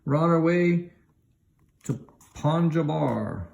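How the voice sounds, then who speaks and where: A man's voice: on the high side, lively intonation, slow pace, gravelly, darkish tone, pitched above the performer's own voice, full character voice. Man voicing a gracious young princess. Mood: grave. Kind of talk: animated children's storybook reading.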